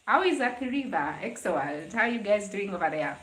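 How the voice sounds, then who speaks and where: Casting: young Kenyan woman, low-pitched-to-average range not required, casual livestream host chatting with commenters, roomy echo on the sound. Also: expressive, brisk, fairly bright tone. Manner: conversational, greeting and answering commenters. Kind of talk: casual live chat with viewers. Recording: roomy, echoing live stream sound.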